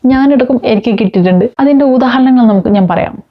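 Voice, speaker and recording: A woman's voice: fairly high pitch, quick pace, highs cut off. A woman talking informally to viewers, explaining with examples and mixing in English words. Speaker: host of a parenting talk.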